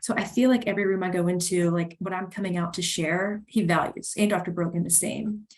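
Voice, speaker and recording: Female hand therapist guest, Southern accent, lowish pitch, enthusiastic and laughing. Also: fairly even intonation, brisk. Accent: Southern American. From Southern United States. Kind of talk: casual podcast chat.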